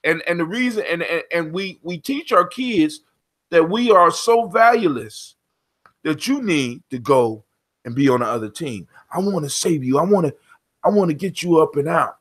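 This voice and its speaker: A man's voice: fairly high pitch, expressive, moderate pace, a bit bright. An American man in his forties speaking emphatically, with repetition, as he hosts an impassioned group discussion. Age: 40s. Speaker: discussion host.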